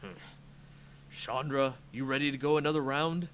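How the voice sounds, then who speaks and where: A man's voice: medium pitch, moderate pace, fairly bright tone, slight background noise, lightly acted. A man voicing an earnest warrior leader in a story reading, firm and commanding. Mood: urgent, commanding. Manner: firm, urgent.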